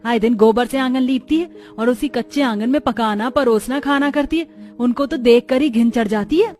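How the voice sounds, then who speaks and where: A woman's voice: a little high, normal speed, a little mellow, lightly acted. A woman voicing an irritable, lazy and haughty housewife in a cartoon story, grumbling and scornful. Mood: angry, scornful. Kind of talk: Hindi cartoon story acting.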